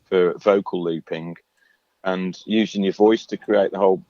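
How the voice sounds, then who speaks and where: A singer-songwriter guest in a radio interview, talking in a relaxed, chatty way with lots of fillers and restarts in his low voice. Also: moderate pace, no echo, dull audio. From England.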